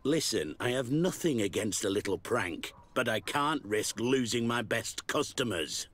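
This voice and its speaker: A man playing a sly, wary, opportunistic brothel keeper with a rough, husky voice in a video game. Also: mid-range voice, expressive, a little slow, fairly bright tone. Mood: wary, bargaining. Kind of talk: video game cutscene acting.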